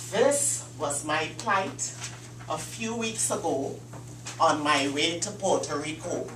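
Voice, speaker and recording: Woman speaker telling a comic story, very unhurried, mic far away in an echoey meeting room. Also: low voice, a bit bright. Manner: deliberate dramatic storytelling with pauses. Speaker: Toastmasters club speaker. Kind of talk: prepared humorous speech. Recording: echoey room, distant mic, faint hum.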